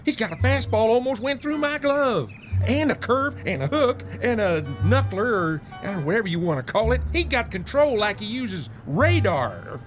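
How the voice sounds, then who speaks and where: Radio drama: awestruck veteran catcher, American, rough grammar, very lively pitch, music underneath. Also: male voice, normal speed. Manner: slangy, ungrammatical, breathless amazement.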